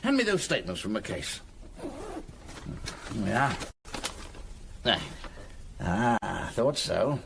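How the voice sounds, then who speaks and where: An actor with a British accent playing a shrewd, patient senior police detective in a radio drama, with a measured, probing and authoritative manner. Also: male voice, fairly low pitch, huge pitch swings, creaky, a bit bright, slight background noise, heavily acted. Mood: deducing, assured.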